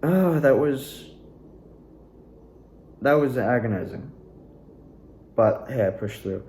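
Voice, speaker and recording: A man's voice: mid-range voice, expressive, slow pace, darkish tone, slight background noise. A young adult man rambling casually and candidly about his own experiences, like a student actor giving a personal recap. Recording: roomy, echoing room sound.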